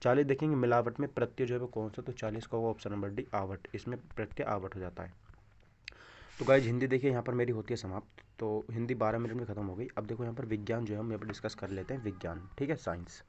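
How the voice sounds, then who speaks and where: Male coaching instructor for quiz walkthroughs, repetitive question-and-answer delivery, dull low-fidelity recording. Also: quick pace, a little mellow. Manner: repeats answers, poses rhetorical check questions. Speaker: exam coaching teacher. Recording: dull, lo-fi microphone sound.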